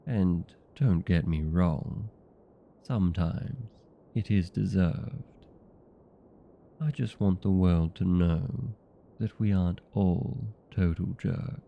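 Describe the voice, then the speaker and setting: A man voicing a courteous, proud old vampire in a comedic audio roleplay, speaking formally and unhurriedly with a gravelly voice. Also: sits low, highs cut off, heavily acted.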